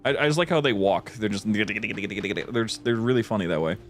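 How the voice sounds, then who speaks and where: Chatty low-voiced man gaming on stream. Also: a little fast, soft background music. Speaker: livestream host playing a game. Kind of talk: casual gaming commentary.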